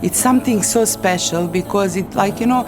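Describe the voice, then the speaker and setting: Brief: woman, sincere and hesitant, mid pitch, music bed. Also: quick pace, low-quality recording. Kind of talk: documentary interview. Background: background music.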